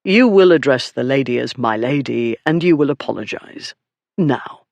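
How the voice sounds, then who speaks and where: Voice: mid-range voice, normal speed, vocal fry, dry recording. A narrator playing a lazily menacing duke. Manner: calm, lazy, quietly menacing. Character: mild, lazy, dangerous young duke.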